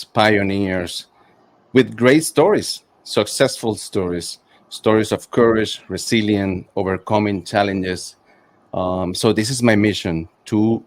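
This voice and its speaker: Male podcast host, middle-aged, slightly low pitch, dry recording, conversational interviewing. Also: no echo.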